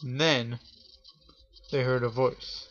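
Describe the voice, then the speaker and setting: A man hosting a show and improvising a spooky story for his viewers, speaking haltingly in short phrases with pauses. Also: medium pitch, fairly even intonation, fairly bright tone, highs cut off. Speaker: host telling a scary story.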